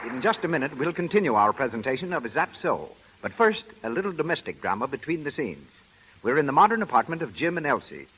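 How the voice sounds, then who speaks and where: A man's voice: a little high, very animated, fast, a bit bright, a faint crowd, a little hiss or hum, poor audio. An American man announcing and reading sponsor copy in the style of 1930s radio. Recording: vintage 1930s radio recording.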